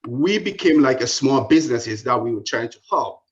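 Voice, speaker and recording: A man's voice: medium pitch, lively intonation, normal speed. A man from Ghana with a Ghanaian accent, asking a question politely. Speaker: audience member asking a question. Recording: dull lo-fi call audio.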